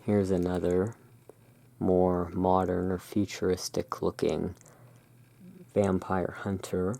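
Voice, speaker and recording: Very low, creaky, slow ASMR voice. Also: little pitch movement. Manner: slow, hushed, deliberate. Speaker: host presenting tarot cards. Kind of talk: whispered ASMR narration.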